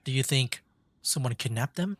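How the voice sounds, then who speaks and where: A man's voice: mid-range voice, animated, normal speed, fairly bright tone. A man giving casual commentary as he streams a video game. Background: game music.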